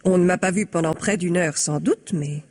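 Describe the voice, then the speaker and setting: An actress playing a composed, guarded married woman in a French radio mystery, speaking in a measured, formal, carefully worded way. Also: lowish voice, a little mellow, natural voice. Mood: composed, defensive.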